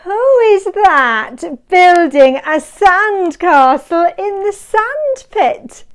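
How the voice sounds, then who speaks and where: A woman reading a picture book aloud to small children, sing-song and inviting, drawing the listener in with questions and hushed counting. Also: very high voice, very animated, very slow. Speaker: storybook reader.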